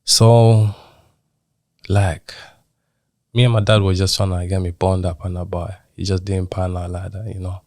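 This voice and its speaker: A man's voice: low-pitched, level tone, measured pace, a little mellow, no room sound, studio quality. A young man from Zimbabwe who grew up partly in England, talking casually as a podcast guest and using British street slang with frequent check-in tags. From Zimbabwe, moved to England in childhood. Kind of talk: casual personal storytelling in an interview.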